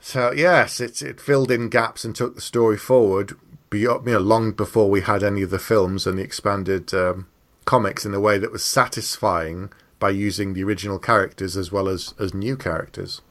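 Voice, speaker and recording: A man's voice: lowish voice, fairly even intonation, moderate pace, fairly bright tone. A middle-aged man hosting a podcast in a casual, explanatory way, sometimes acting out imagined remarks. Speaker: podcast host. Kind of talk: casual chat about books and films.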